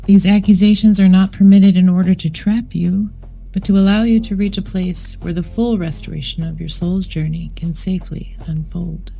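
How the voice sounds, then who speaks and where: A woman with a low, soft-edged mellow voice narrates a guided meditation. Her delivery is solemn and measured, with deliberate phrasing and a creaky grain. Music plays behind her. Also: fairly even intonation. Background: background music.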